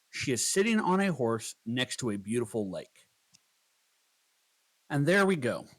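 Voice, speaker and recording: A man narrating a scripted software tutorial, explaining each step in turn as a voice-over. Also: mid-range voice.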